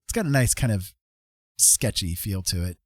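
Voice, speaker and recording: A man's voice: fairly low pitch, animated, normal speed, a little mellow, husky, no room sound, studio quality. A man chatting casually and laid-back on a livestream, thinking aloud as he paints. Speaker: streamer, digital painter. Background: piano music.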